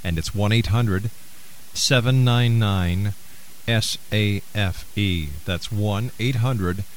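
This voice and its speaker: Male talk radio host, lower register, deliberate announcer delivery, slightly noisy broadcast sound. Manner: deliberate, spells out details. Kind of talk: radio host announcing phone numbers and addresses.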